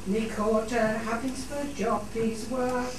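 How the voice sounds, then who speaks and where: A woman's voice: on the high side, steady tone, takes it slowly. A woman singing an unaccompanied folk song in dialect. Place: studio theatre. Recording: echoey hall, distant, clear noise.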